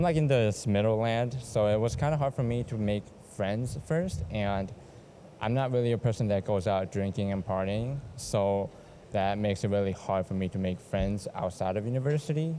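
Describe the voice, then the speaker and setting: Give me a low-voiced young man, chatting loosely on a noisy street. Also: normal speed, mellow. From born in Korea, raised in the United States. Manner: loose, filler-heavy, laughs a lot. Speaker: university student interviewee. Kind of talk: casual street interview. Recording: street noise behind.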